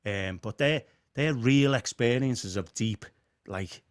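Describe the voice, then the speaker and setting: A man's voice: mid-range voice, takes it slowly, clean tone. A man co-hosting a casual chat, conversational and playful, with frequent tag questions like "don't you?" and "right?". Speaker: podcast co-host.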